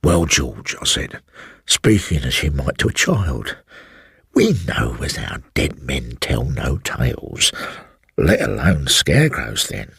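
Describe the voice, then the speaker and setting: A man voicing a sly, brooding elderly former servant with a rustic working-class English accent, telling his tale slowly and insinuatingly in a husky voice. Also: rumbling low, huge pitch swings, fairly bright tone, dry recording, clean studio sound, pitched below the performer's own voice, full character voice. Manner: slow, insinuating, colloquial storytelling. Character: sly elderly former manservant, evasive and brooding, recounting a haunting.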